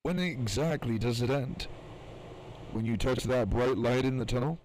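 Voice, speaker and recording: Guy acting as a philosophical scientist, audio drama, calm measured explaining, bit of noise behind him. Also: medium pitch, natural voice, poor audio. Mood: musing, intent. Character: thoughtful male scientist, measured, philosophical, quietly compassionate.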